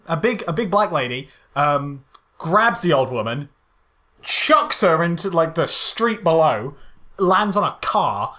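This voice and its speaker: A man's voice: on the high side, very animated, measured pace, pushed and bright. A man co-hosting a casual, chatty film critique in a sardonic, exasperated manner. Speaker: podcast co-host.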